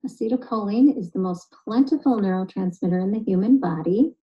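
A woman nutritionist explaining a health topic in a clear, steady, scripted way. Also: medium pitch, fairly quick, highs cut off. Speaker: nutritionist and genetic specialist.

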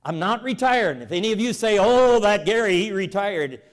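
A man in his seventies giving a lively, folksy, emphatic storytelling speech to a church convention, walking the floor with a lapel mic. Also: high voice, moderate pace, big-room sound. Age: 70s. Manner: animated, folksy, emphatic repetition. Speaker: convention speaker. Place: large convention hall.

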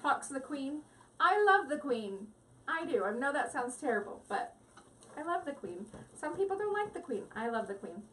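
A woman's voice: high-pitched, very animated, normal speed, a bit bright, far from the mic, a little hiss or hum, poor audio. A woman hosting a live crafting stream, chatting with viewers in a rambling, giggly way at her craft table at home. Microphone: device mic set back from the table.

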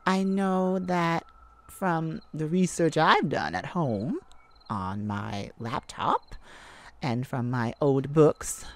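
An affectionate female mage, acted by a woman, speaks in a romantic ASMR audio drama. Her voice sits slightly low for a woman and moves slowly. She rambles and gushes, flustered and full of excitement for her sweetheart. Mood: moved, affectionate. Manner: gushing, giggly, rambling, flustered.

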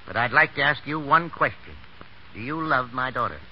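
Man acting expressive concerned father, old radio. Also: medium pitch, close to an everyday voice. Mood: insistent. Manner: measured, paternal, questioning. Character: wealthy, concerned middle-aged father, patient but probing. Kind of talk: 1930s American radio acting. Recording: muffled, noisy 1939 radio broadcast.

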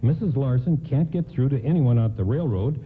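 An actor playing a kindly, concerned department store worker in a radio play, earnest and matter-of-fact. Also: male voice, mid-range voice, lively intonation, moderate pace, close to an everyday voice. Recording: tinny, phone-like radio broadcast with faint noise.

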